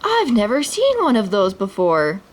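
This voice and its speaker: A woman's voice: medium pitch, wildly swooping pitch, measured pace. A woman reading a storybook aloud voices a kind, brave young male hero who speaks eagerly. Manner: eager, exclaiming.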